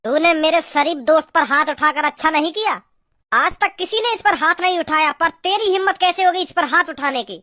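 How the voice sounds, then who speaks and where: Blustering, very high-pitched cartoon village boy. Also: fairly quick, bright, forceful tone, dry recording, clean studio sound. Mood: angry threatening. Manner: blustering, dramatic. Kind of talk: comic Hindi cartoon dialogue.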